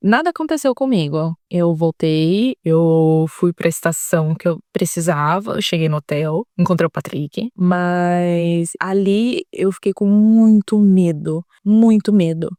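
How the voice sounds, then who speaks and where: Young adult woman, São Paulo Brazilian accent, vlogger telling a story with earnest drama; dry, mellow. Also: lowish voice, measured pace, few pauses, no room sound. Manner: earnest, dramatic retelling with quoted thoughts. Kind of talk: storytelling vlog.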